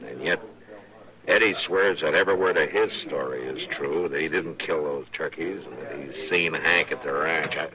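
An actor playing a patient, plainspoken frontier marshal with calm authority in an old radio western. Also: male voice, very deep voice, crowd noise. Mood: thoughtful. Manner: calm, measured, folksy authority. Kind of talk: 1950s radio western acting. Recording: muffled old radio recording.

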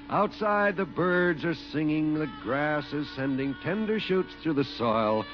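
An American man announcing on 1940s radio, reading scripted copy in a polished, emphatic way. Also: medium pitch. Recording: muffled 1940s radio, music behind.

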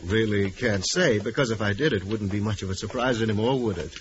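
Male actor as secretive prisoner, hesitant, low voice, old radio drama, muffled and hissy. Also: fairly quick, natural voice. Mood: guarded. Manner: hesitant, guarded. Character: secretive, nervous, grateful male convict sculptor. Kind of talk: 1940s radio acting.

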